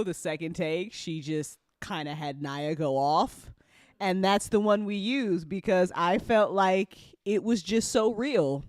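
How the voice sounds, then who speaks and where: An excited, effusive host in slangy after-show chat, with a smooth, clear voice that swings widely in pitch. The sound is close and dry. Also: dry recording. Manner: effusive, slangy, prone to laughing.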